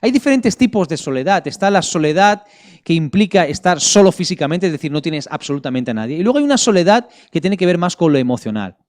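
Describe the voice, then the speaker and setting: A middle-aged man from Barcelona with a Spanish accent from Spain, preaching as a pastor to an online congregation in an earnest, measured way with pauses for emphasis. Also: a little high, sing-song, darkish tone. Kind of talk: online sermon with prayer and scripture reading.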